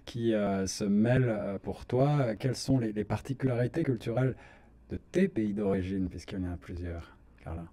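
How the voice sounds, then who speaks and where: Low, mellow-voiced male radio host. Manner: measured, formal, pauses often to search for words. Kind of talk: radio talk show hosting. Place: radio studio.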